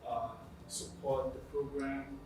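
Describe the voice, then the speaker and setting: A man, a nonprofit director, introducing himself in a measured, hesitant way. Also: mid-range voice, little pitch movement, noisy background. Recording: echoey room from far off.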